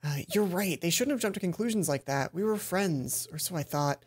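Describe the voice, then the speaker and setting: A man's voice: sits high, expressive, brisk, slightly rough. A man reading as a hurt teenage girl gamer. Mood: resentful. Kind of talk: reading visual novel dialogue aloud.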